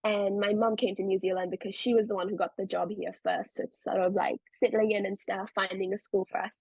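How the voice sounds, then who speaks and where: A woman's voice: medium pitch, little pitch movement, fairly quick, a little mellow, husky, dry recording, rough recording. Chatty young woman with a South African accent. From India. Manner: chatty, many fillers like "um" and "like". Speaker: podcast guest. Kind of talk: casual interview chat.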